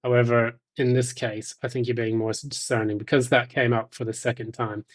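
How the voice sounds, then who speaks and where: A man giving an intuitive tarot reading straight to the listener, speaking in a measured, halting way with thoughtful pauses. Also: mid-range voice, level tone. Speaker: tarot reader.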